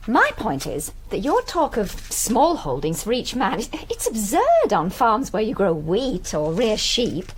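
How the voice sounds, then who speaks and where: Brisk, lively English farmer's wife. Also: female voice, medium pitch, a little hiss or hum. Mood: brisk, argumentative. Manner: brisk, bossy, articulate. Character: brisk, warm, strong-willed farmer's wife, socially anxious hostess. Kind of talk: period radio drama acting.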